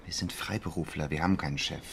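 Low, creaky, grumbling freelancer. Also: male voice, steady tone, fast talker, a bit bright, exaggerated voice. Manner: dry, muttering, grumbling. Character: grumbling, sardonic freelance writer kept waiting. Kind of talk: naturalistic radio play dialogue. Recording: clear background noise.

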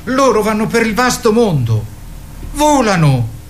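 A man playing a naive, earnest young boy who speaks in a halting, wondering, childlike way in a radio drama. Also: high-pitched, wildly swooping pitch, slight background noise, full character voice. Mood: dreamy, earnest. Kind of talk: theatrical radio drama acting.

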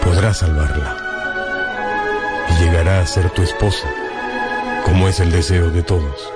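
An actor playing a grave, middle-aged father giving solemn, measured counsel in a radio drama. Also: deep voice, fairly even intonation. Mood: grave, advising. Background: prominent music.

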